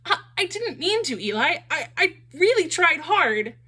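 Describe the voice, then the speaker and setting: A man's voice: extremely high pitch, big pitch swings, measured pace, bright, forceful tone, voice pushed up, exaggerated voice. A woman voicing a small, timid male wooden puppet who doubts himself and stammers anxiously.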